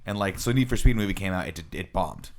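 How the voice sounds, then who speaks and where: A man's voice: low-pitched, animated, quick pace, vocal fry. A man co-hosting a podcast, talking casually in a group conversation. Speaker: podcast co-host.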